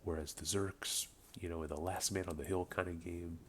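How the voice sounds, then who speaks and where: A man with a voice slightly off from a cold, casually commentating a strategy game replay, relaxed and rambling with many ums. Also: sits low, moderate pace, slight background noise. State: getting over a cold. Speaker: game caster. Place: home room with a buzzing light.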